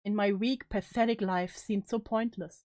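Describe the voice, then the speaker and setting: A woman's voice: mid-range voice, fairly even intonation, normal speed, darkish tone, no room sound, studio quality, pitched below the performer's own voice, lightly acted. Anguished, guilt-haunted student read dramatically by a woman. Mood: desperate, anguished. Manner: solemn, melodramatic, anguished. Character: guilt-ridden, despairing young student haunted by loss. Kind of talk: dramatic reading of story narration.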